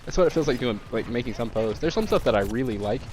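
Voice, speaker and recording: A man's voice: mid-range voice, lively intonation, a little mellow, a little hiss or hum. Nasal young man with a cold. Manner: rambling, halting, many fillers and restarts. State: congested from sickness. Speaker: gaming streamer. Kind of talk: casual commentary while playing a shooter.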